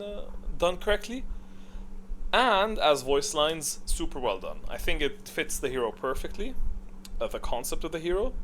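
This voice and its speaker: A man's voice: medium pitch, fairly bright tone. Casual man thinking aloud, noisy recording. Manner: opinionated, thinking aloud, asking and answering own questions. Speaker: video creator ranking game characters. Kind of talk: casual unscripted commentary.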